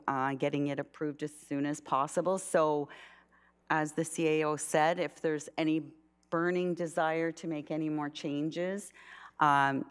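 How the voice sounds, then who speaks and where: A low-pitched woman chairs a town council meeting as mayor. She speaks in halting stretches, restarting phrases and filling pauses as she steers the votes. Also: clean tone. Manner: halting, many restarts and fillers.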